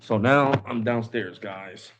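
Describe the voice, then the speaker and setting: A man's voice: fairly low pitch, takes it slowly, fairly bright tone, lo-fi sound, a little hiss or hum. A man in his forties hosting a live stream, chatting casually and rambling, saying "bro" and "guys" often. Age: 40s. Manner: switches to Spanish with family.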